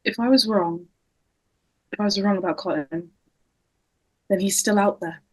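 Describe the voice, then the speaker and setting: A woman's voice: mid-range voice, normal speed, slightly rough, dry recording, natural voice, low-quality recording. A woman playing a wounded, guarded teenage girl in a script table read. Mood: troubled.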